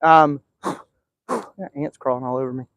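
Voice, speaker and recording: A man's voice: mid-range voice, unhurried, a bit bright, no echo. A man hosting a casual live stream outdoors, chatting in a rambling, easygoing way with lots of fillers. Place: outdoors in a backyard.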